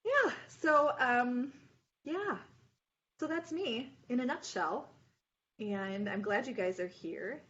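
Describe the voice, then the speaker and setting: A woman's voice: fairly high pitch, lively intonation, slow pace, a bit bright, muffled recording. A woman who owns a yarn shop and dyes the yarn herself, chatting in an upbeat, casual way and laughing often. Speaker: yarn shop owner and hand dyer. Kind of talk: casual video-call chat. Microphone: video call.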